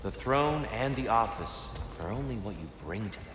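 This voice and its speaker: An actor playing a calm, wise god in a video game, giving counsel in a measured, thoughtful way. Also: male voice, lowish voice, heavy background noise, close to an everyday voice. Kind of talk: dramatic video game acting. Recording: echoing hall, loud music behind.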